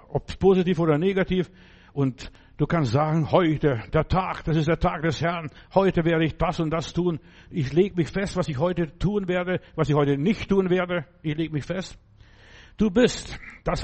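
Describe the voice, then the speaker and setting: A man's voice: a little high, measured pace, a little mellow. A man preaching a fervent, emphatic sermon in German, which is not his first language, repeating phrases for emphasis.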